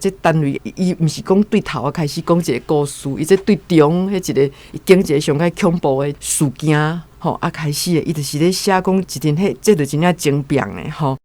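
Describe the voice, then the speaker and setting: A man's voice: a little high, a little fast, hardly any pauses, a little mellow. A man with a Taiwanese accent giving casual commentary on a story as a guest.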